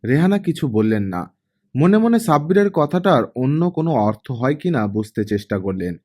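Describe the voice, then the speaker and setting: A man's voice: mid-range voice, sing-song, moderate pace, dark tone. A man narrating a scripted story as an audiobook reader, acting out the dialogue as he goes.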